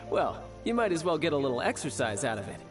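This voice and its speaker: A man's voice: on the high side, very animated, moderate pace. A man voicing a cocky, playful young male warrior in a game cutscene, teasing and mock-formal, with music behind. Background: background music.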